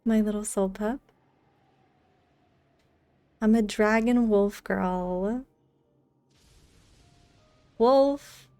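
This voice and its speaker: A woman's voice: medium pitch, drawn-out words, long pauses, warm tone, clear, resonant tone, dry recording. A woman live streamer chatting casually and in a friendly way with her viewers while gaming. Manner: friendly, greets viewers by name. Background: game music.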